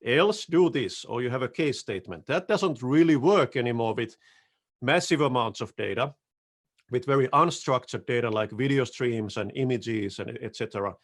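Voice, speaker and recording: A middle-aged man from Finland with a Finnish accent, presenting a technical talk over a video call in a relaxed, self-deprecating way. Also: mid-range voice, normal speed. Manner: relaxed, self-deprecating, occasional restarts and throat clearing. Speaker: solutions architect presenting. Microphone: headset on video call.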